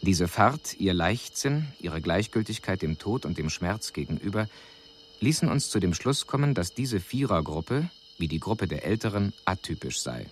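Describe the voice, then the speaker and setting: An actor playing a detached alien envoy who delivers a formal, precise, clinical report in a radio drama. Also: male voice, deep voice, very animated, moderate pace, a little hiss or hum. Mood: detached, grave.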